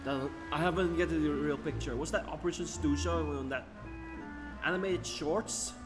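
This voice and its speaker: A man giving casual, halting live commentary as a game streamer. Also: on the high side. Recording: reverberant room sound, game music behind.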